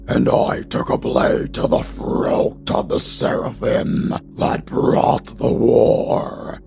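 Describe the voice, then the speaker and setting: A man voicing a big, solemn, loyal monster warrior with a gravelly growl, acting in a fantasy audio drama over music. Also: mid-range voice, full character voice. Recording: music behind the voice.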